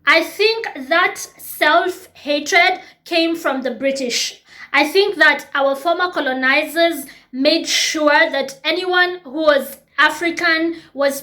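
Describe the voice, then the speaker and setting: A woman in her thirties with a Kenyan accent speaks to her viewers in a reflective, personal way. Her voice sits high and sounds bright and forceful. She talks earnestly and often repeats a phrase to press a point home. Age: 30s. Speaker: YouTube host. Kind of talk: reflective personal vlog talk.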